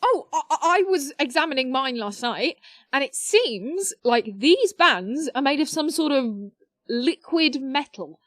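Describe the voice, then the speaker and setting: A woman's voice: high voice, animated, measured pace, fairly bright tone, dry recording, clean studio sound. A woman playing a nerdy, awkward teenage girl in an audio drama, eagerly rambling through technical explanations with hesitant stops.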